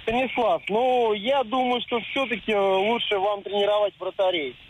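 Man playing an aggrieved, high-voiced star goalkeeper. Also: fairly even intonation, moderate pace, muffled recording, noisy background, natural voice. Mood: challenging. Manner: argumentative, sarcastic, needling. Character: aggrieved, proud star male national team goalkeeper. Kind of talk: improvised comic radio sketch.

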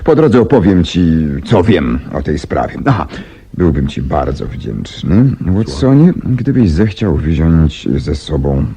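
An actor playing a brilliant, self-assured detective in 1970s radio drama style, courteous, deliberate and articulate as he explains things. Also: a bass voice, sing-song, mellow, breathy edge, full character voice. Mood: brisk, courteous. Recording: 1970s radio recording with faint noise.

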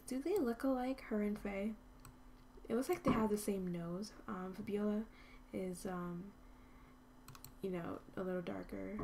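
A woman's voice: medium pitch, mellow, slight background noise. A woman hosting a life-sim game video, chatting casually with lots of ums and dipping into whispers. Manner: chatty, rambling with many ums, often drops to a whisper. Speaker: gaming channel host. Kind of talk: casual let's-play commentary.

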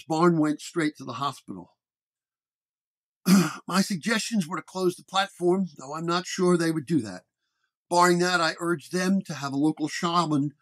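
A man's voice: on the high side, natural voice. An actor playing a weary, wounded 1930s detective who dramatically narrates his case report. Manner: dramatic first-person storytelling. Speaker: narrator-performer.

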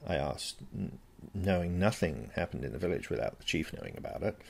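A man's voice: sits low, sing-song, fairly quick, gravelly, husky. A man reading a first-person story as scripted narration. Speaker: story reader.

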